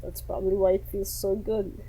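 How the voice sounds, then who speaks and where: A woman's voice: mid-range voice, little pitch movement, normal speed, mellow, breathy edge, poor audio. A woman giving casual, wry let's-play commentary on a game, reacting in asides and reading some lines aloud. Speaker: gaming channel streamer.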